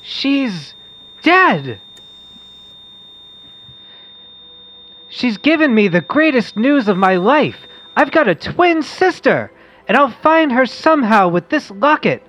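An adult playing an excitable, wholesome boy who hosts a radio quiz, read in the manner of an old comic-book radio play. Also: medium pitch, measured pace, a little hiss or hum. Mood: excited, flustered.

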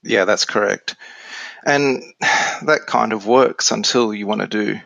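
Australian male, forties, lowish gravelly voice, casual explainer, dry close recording. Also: slow, pushed and bright, no echo, rough recording. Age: 40s. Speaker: interview guest, software developer. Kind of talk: casual explanatory interview talk.

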